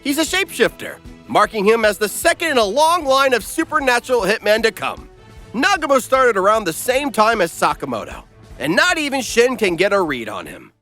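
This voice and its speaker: A man's voice: high-pitched, very animated, brisk, bright, forceful tone, faint music behind. A man delivering flippant, irreverent comedic scripted recap narration.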